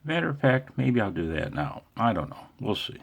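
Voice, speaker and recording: Deep male voice, American, casual vlogging, wry, self-deprecating, amateur radio hobbyist. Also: big pitch swings, moderate pace, gravelly. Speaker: amateur radio operator and video host. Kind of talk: casual off-the-cuff vlog chat.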